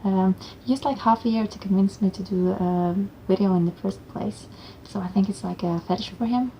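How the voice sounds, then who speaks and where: A woman's voice: medium pitch, moderate pace, noisy background. A woman from Norway with a Norwegian accent, chatting in a teasing, playful way. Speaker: guest maker. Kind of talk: casual chat.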